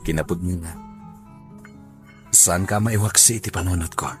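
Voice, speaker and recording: A man's voice: low voice, steady tone, normal speed, natural voice. A man acting an earnest, lovestruck young man in a radio drama, with an Ilocano accent and a tender, pleading manner. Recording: radio drama with music underneath.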